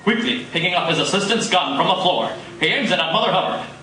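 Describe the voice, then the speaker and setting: A man's voice: high-pitched, animated, fast, pushed and bright. A man narrating dramatically from a script in the style of a radio serial, at a live performance. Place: live coffee house performance. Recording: echoey live coffee house, distant, noisy.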